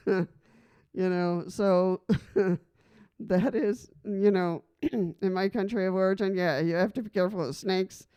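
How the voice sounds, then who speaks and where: A woman's voice: medium pitch, dark tone. A middle-aged woman from Costa Rica talking casually to camera in a personal vlog, chatty and rambling, with many fillers and frequent laughs. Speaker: vlogger.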